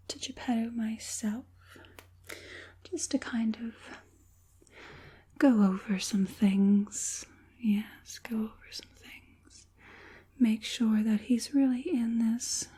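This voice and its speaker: An evil witch puppet master, played by a woman, speaks in a very breathy, hushed ASMR whisper. She is slow and deliberate, with a soft, dark tone. Her manner is polite and doting, yet proud and quietly controlling. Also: a little high, full character voice. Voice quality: breathy whisper with occasional soft voiced words. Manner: unhurried, polite, hushed, deliberate with repeated affirmations.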